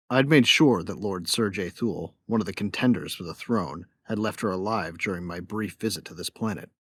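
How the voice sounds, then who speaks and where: A man's voice: fairly low pitch, fairly bright tone, no echo, clean studio sound. A man playing a young bounty hunter in a sci-fi audio drama, telling his story in a wry, laid-back, cocky way. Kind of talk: first-person audio drama narration.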